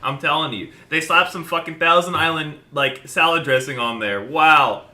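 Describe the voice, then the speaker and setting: Young guy podcast cohost, super bright edgy voice, loud sweary rants. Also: a little high, normal speed. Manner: loud, profane ranting, animated outbursts. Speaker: podcast co-host. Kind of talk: casual chat.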